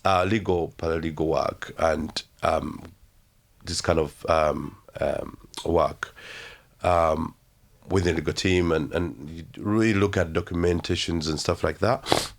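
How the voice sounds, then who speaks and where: A young Ugandan-accented man with a notably low, deep voice speaks as an interview guest. He tells his story slowly and reflectively, pausing often and leaning on fillers as he searches for words. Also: fairly even intonation, creaky. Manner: halting, reflective, heavy with fillers.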